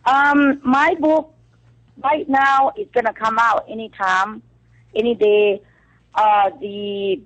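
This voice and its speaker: A woman from Thailand with a Thai accent, calling in as a guest to a radio show and speaking halting English full of fillers. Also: medium pitch, muffled recording. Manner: halting non-native English with frequent fillers. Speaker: call-in guest, author. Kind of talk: phone-in radio interview. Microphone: telephone.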